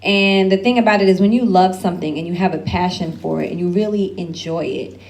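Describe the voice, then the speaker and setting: A woman's voice: lowish voice, fairly quick, darkish tone. A woman speaking on an alumni panel, giving candid advice with loose asides and frequent laughs.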